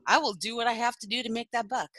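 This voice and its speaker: A middle-aged woman, a former phone sex operator, telling stories in a casual podcast interview, chatty and quick to laugh. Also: on the high side, a bit bright, dry recording, clean studio sound. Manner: chatty storyteller who laughs often.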